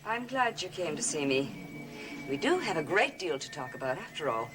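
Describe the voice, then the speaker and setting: A woman's voice: sits low, sing-song, moderate pace, low-quality recording. Sly secretive lady in old gothic soap, very swoopy theatrical delivery, music under her. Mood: coaxing concern. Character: poised, secretive woman, probing with smooth concern. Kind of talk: 1970s gothic television soap opera acting.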